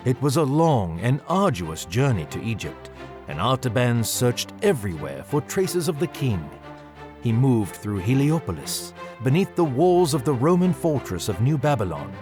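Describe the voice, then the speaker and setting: Guy playing a dad telling a tale, patient, lively medium voice, audio drama, music behind. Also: medium pitch, lightly acted. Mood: storytelling. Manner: articulate, patient, storyteller's phrasing. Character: father telling his children a quest story.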